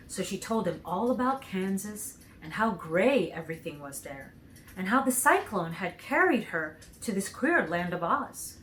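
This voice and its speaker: A woman reading a children's storybook aloud as an expressive narrator. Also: medium pitch, a little hiss or hum, low-quality recording.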